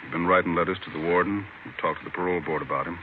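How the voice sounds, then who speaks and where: A man acting as a stern, fair-minded American police chief in an old radio drama. His voice is very low and his questions come quick and blunt. The recording is narrow and hissy. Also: natural voice. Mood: stern, probing. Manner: blunt, clipped, probing.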